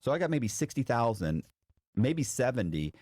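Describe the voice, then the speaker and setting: A man's voice: medium pitch, big pitch swings, moderate pace, darkish tone, breathy edge, no room sound, clean studio sound. A man speaking as an interview guest, telling a story casually and slangily, acting out what people said. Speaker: interview guest recounting past fraud.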